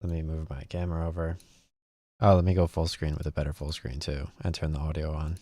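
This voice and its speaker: Very low-voiced man casually narrating gameplay. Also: flat delivery, brisk, dark tone, no room sound. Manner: rambling, thinking aloud. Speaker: gaming video host.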